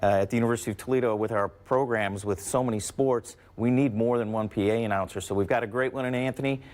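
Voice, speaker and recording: A man's voice: mid-range voice, steady tone, fast talker, a little mellow. A man with an American accent, answering interview questions conversationally as a university athletics representative.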